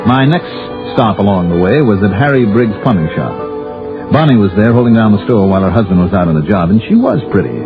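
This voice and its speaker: Hardboiled radio detective narrating, low dry sardonic voice, really muffled old time radio sound. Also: male voice, lively intonation, fast, over music. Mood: wry narration. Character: wry, cool, hardboiled male insurance investigator.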